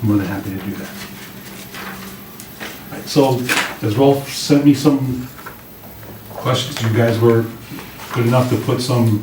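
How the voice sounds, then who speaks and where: A man with a slightly raspy voice giving an informal, plain-spoken briefing to a committee as a public works director. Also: medium pitch. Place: indoor meeting room. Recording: roomy, distant mics with clear background noise.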